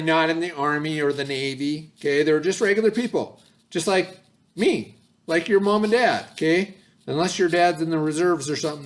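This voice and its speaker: A male teacher in a recorded lesson for his class, explaining words slowly with everyday examples and checking in often. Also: high-pitched. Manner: checks in often with "okay" and "right".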